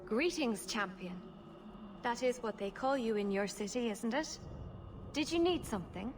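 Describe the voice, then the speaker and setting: A woman's voice: a little high, expressive, normal speed, music in the background, lightly acted. A woman playing a guarded, reserved female elf in a video game, speaking in a cool and formal manner. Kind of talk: video game character acting. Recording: clear background ambient noise.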